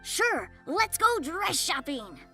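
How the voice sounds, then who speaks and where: A woman voicing a childlike, excitable, scatterbrained sidekick in an audio comedy, chirpy in manner. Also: high voice, slow, soft background music, full character voice. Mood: bright, eager.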